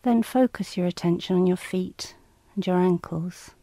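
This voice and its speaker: Mellow, soothing woman guiding relaxation. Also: fairly low pitch, dry recording. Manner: calm, measured, soothing, clinical. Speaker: relaxation instructor. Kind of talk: guided relaxation, scripted instructions.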